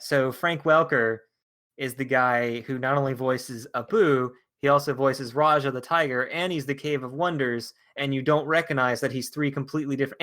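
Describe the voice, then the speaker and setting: A man's voice: medium pitch, fairly bright tone. A man co-hosting a podcast, chatting casually in a rambling, enthusiastic fan's way. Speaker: podcast co-host.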